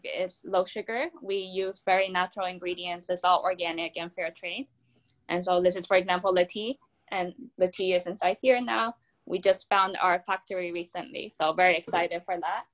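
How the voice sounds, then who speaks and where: A young woman from Taiwan speaks on a video call with a fairly low voice. She explains her product in an upbeat way, like a founder on a panel. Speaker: drink brand founder. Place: home room.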